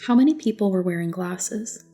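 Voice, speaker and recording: A soft, breathy woman gently giving calm, reassuring instructions in an ASMR roleplay, often dropping to a whisper. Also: medium pitch, moderate pace, faint music behind. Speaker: ASMR roleplayer as a test examiner. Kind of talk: soft-spoken ASMR personal attention roleplay.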